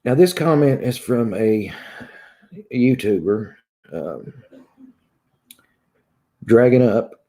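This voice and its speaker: A man in his thirties with a long Southern drawl from Kentucky talks casually to his online viewers. His voice is very dark and mellow, and he sounds conversational, like someone venting from a room at home. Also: medium pitch, expressive, slow pace, pauses often. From Kentucky, United States. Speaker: YouTube vlogger. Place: small room at home, indoors.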